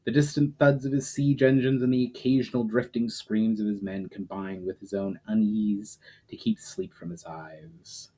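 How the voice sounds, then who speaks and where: A man reading fantasy fiction aloud as a dramatic, measured narration. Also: fairly low pitch, steady tone, warm tone.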